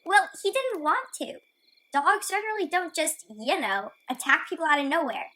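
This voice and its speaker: A woman's voice: very high-pitched, lively intonation, normal speed, sharp tone, exaggerated voice, rough recording. A woman playing a plucky, optimistic girl heroine in a radio play, chatty and matter-of-fact. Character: plucky, optimistic girl heroine who contradicts her narrator.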